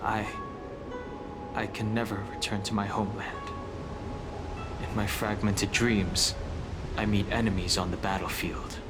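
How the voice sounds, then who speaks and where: A man voicing a reserved young wandering warrior in a video game, talking in a calm, measured way. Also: lowish voice, lightly acted. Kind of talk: game voice acting. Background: game music.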